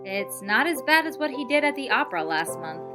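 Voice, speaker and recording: A woman's voice: a little high, very animated, brisk, over music. A woman playing an opinionated, breezy, geeky young woman in an audio drama, assertive and dismissive. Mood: wry.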